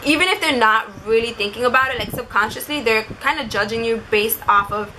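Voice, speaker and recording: Bright, chatty young woman. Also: a little high, fairly quick, slight background noise, poor audio. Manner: chatty, informal, uses "like" constantly. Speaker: vlogger. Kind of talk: casual storytelling with advice to camera.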